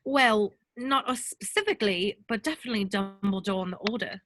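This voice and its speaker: A woman's voice: medium pitch, fairly quick, a bit bright, dry recording, close to an everyday voice. A woman playing an earnest, worried, clever teenage schoolgirl with an insistent manner in an amateur script read. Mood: indignant.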